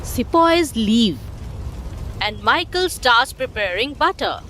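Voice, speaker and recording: A woman narrating a scripted animated story for children. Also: a little high, slow pace, a little hiss or hum.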